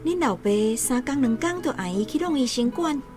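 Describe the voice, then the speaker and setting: A woman's voice: sits high, expressive, a little fast, darkish tone. A woman playing a hardworking mother of many children, scolding yet tender, in a radio drama with a Taiwanese accent. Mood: weary, worried. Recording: guitar music under the voice.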